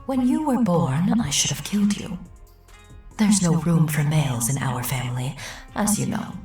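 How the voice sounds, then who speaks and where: A woman voicing a cruel, possessive spider demon mother in a visual novel story, with slow, menacing delivery that slips into breathy half-whispers. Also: low-pitched, expressive, dark tone, voice pushed down. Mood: cold, scornful. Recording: echoey, distant, music underneath.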